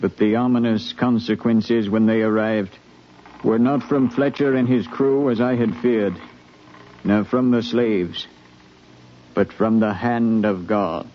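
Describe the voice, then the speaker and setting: A man acting a grave, orderly ship's captain in a 1950s radio drama, formal and measured, sombre in his telling. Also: fairly low pitch, fairly even intonation. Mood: sombre narration. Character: grave, orderly slave-ship captain narrating his voyage. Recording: muffled, hissy 1950s radio recording.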